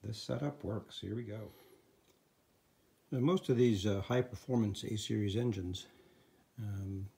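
An older man explaining engine assembly step by step in a garage workshop. He sounds practical and instructive, with quick asides as he works. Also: on the low side, creaky, warm tone. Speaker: engine builder. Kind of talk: hands-on workshop demonstration.

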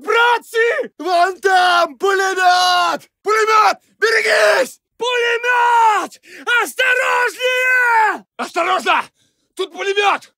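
Screaming, gravelly Russian soldier. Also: male voice, very high voice, no echo, clean studio sound, exaggerated voice. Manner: urgent, terse shouting. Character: alarmed Russian First World War soldier warning comrades. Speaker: video game voice actor. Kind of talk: shouted battlefield alert barks.

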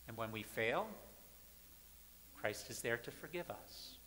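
A man in his thirties, a pastor, preaching at a pulpit in a large church sanctuary with emphatic, repeated phrases that swing between loud and soft. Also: mid-range voice, expressive, slow pace, slight background noise. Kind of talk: sermon. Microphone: pulpit mic, far camera. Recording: church hall reverb.

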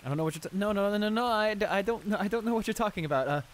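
A man's voice: high voice, rapid-fire, natural voice. A man playing a shy, flustered school bully in an audio roleplay, stammering and hesitant as he apologizes and gets embarrassed. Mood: flustered denial. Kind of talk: intimate improvised audio roleplay acting. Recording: rain noise behind the voice. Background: steady rain.

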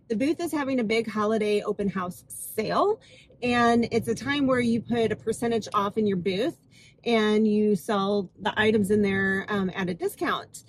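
A woman's voice: on the high side, breathy edge. A woman in her thirties chatting casually to camera from inside her car, sounding stuffed up and nasal from a cold, laughing often. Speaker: vlogger. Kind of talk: casual vlog chat to camera. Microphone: phone camera on the dashboard.

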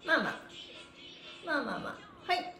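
A middle-aged woman hosting a livestream stretching class, chatty and encouraging, with an energetic, sing-song way of instructing. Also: high-pitched, moderate pace, creaky, soft background music. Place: small bare-walled indoor studio room. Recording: roomy echo, mic a little away.

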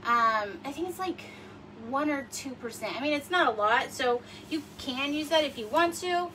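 A woman's voice: sits high, animated, moderate pace, sharp tone, recorded from a distance, low-quality recording. A woman in her forties hosting a casual livestream from her home kitchen, chatty and rambling with lots of fillers, a little sniffly. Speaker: livestream host. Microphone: phone camera across the room. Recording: voice a bit off-mic, faint room noise.

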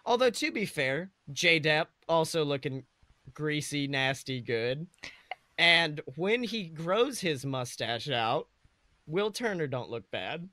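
A man's voice: a little high, big pitch swings, slow, pushed and bright, no echo. A man co-hosting a podcast in casual comedic chat, joking with lots of slang and swearing. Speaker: podcast co-host.

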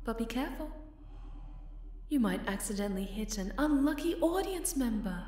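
A woman voicing an obsessive, possessive winged supervillainess in an ASMR roleplay, theatrical and breathy, often sinking into whispers, with menacing tenderness. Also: on the high side, expressive, moderate pace, mellow, reverberant, pitched above the performer's own voice, close to an everyday voice. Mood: taunting, adoring.

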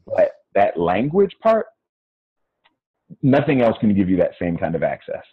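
Middle-aged American man, guest on a video call; low and husky, dry tinny line, thoughtful with restarts. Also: wildly swooping pitch, unhurried, no room sound. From United States. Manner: reflective, many fillers and restarts. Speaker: podcast guest. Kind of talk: casual conversation. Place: small room indoors. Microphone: earphone mic on video call. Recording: phone-like narrow sound, dry.

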